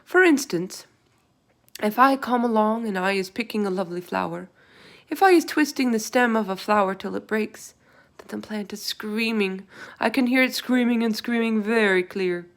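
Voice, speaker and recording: Lady doing a big friendly giant guy from a kids book, proud n earnest, gravelly, funny grammar. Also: medium pitch, normal speed, pitched above the performer's own voice, natural voice. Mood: earnest, emphatic. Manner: quaint muddled grammar, emphatic delivery. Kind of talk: storybook read-aloud character voice.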